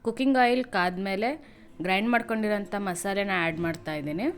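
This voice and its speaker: A woman from narrating a recipe step by step in a plain, instructional way, pausing between items. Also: mid-range voice. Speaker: home cook presenting a recipe. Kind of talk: step-by-step cooking tutorial narration. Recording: noisy home cooking video audio.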